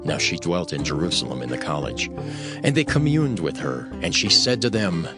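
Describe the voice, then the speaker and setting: A male narrator reads scripture solemnly as scripted drama. His voice is on the low side with wide, expressive movement, and music plays clearly behind him. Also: moderate pace.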